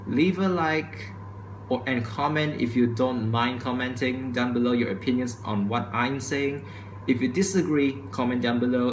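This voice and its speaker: Young male student vlogger, mid-pitched, stumbling and restarting lines, camera mic in a roomy space with faint hum. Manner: stutters and restarts, says he speaks too quickly. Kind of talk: casual opinion monologue to camera. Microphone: camera microphone. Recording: echoey room with faint ambience.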